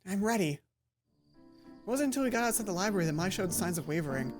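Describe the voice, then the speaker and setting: A woman's voice: lowish voice, sing-song, brisk. Woman narrating a visual novel over music. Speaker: let's play host. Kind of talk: reading a visual novel aloud with casual asides. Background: game music.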